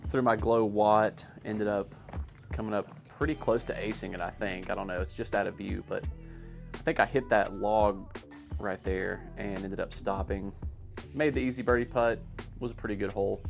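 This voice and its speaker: Low-pitched man narrating sports highlights over music. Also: steady tone, moderate pace, gravelly. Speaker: amateur disc golfer narrating own highlights. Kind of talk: casual voice-over commentary.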